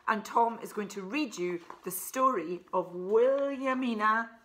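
A woman's voice: a little high, measured pace, a bit bright, low-quality recording. A woman in her forties from Scotland, chatting as an author presenting a children's book and explaining things simply with asides. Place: furnished living room, indoors.

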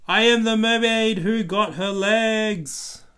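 A man's voice: sits high, takes it slowly, fairly bright tone, clean tone, voice pushed up. A man voicing a playful, polite six-year-old girl puppy from a storybook, with sing-song questions and exclamations. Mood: gleeful.

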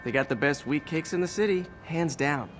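A man's voice: fairly high pitch, lively intonation, fairly quick, soft background music, close to an everyday voice. An actor playing a wisecracking young male superhero who teases and jokes. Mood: kind. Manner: joking, teasing. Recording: game audio with clear ambient noise.

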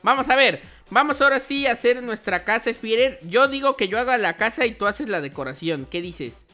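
Bright-voiced young man hosting game play. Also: very high voice, animated, brisk. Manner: chatty, playful, addressing viewers directly. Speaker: host of a gaming channel. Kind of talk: casual gaming commentary with roleplay. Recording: music behind the voice. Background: music.

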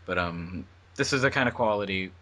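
A co-host with a low male voice chats in a halting, casual way. His tone is bright and forceful despite the depth. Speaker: commentary co-host. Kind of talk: casual group commentary chat.

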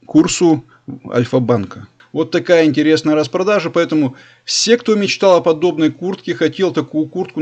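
A man's voice: a little high, darkish tone. A man in his forties talking casually and enthusiastically as he scrolls through a shop site, repeating his recommendations often. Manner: chatty, repetitive, enthusiastic salesman-like recommending. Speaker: leather belt maker and channel host. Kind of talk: screen-recorded shopping review voice-over.

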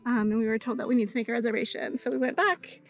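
A woman in her thirties talking casually to an action camera on a sailboat, relaxed and laughing easily. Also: on the high side, quick pace, faint music behind. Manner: relaxed, chatty, laughs often. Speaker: sailing vlogger. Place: aboard a sailboat cabin.

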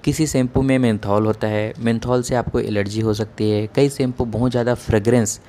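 A man's voice: mid-range voice, level tone, brisk, dark tone, a little hiss or hum. A man giving a plain, methodical educational explanation as a doctor, point by point. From India. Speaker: dermatologist giving hair-care advice.

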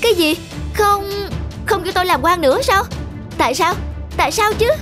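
A woman's voice: very high-pitched, lively intonation, normal speed, fairly bright tone. A woman voicing a vain, haughty, poor male scholar in a cartoon folktale. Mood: shocked. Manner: boastful, haughty, demanding. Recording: music behind the voice.

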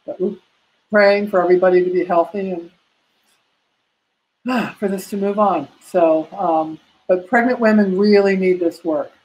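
Older woman, massage instructor, live video-call class from home; slightly low voice, chatty and practical. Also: measured pace, rough recording. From United States. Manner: warm, practical, chatty teaching with many fillers. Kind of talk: live online class answering questions. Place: home room. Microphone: laptop webcam on a video call.

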